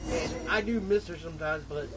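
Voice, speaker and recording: A man streaming a game, chatting casually and telling things in a halting, confiding way. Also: fairly high pitch. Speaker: gameplay streamer. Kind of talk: casual chat over gameplay. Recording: distant mic with clear background noise.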